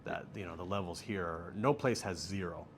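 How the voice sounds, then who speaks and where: A middle-aged American man giving relaxed, joking answers as an interview guest. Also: low voice, normal speed, gravelly, soft background music. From United States. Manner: relaxed, joking, laughs easily. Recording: faint background noise.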